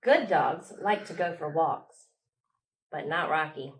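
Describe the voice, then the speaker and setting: A woman's voice: low-pitched, takes it slowly, distant mic. A woman hosting storytime as a children's librarian, reading aloud and talking to small children in simple short phrases.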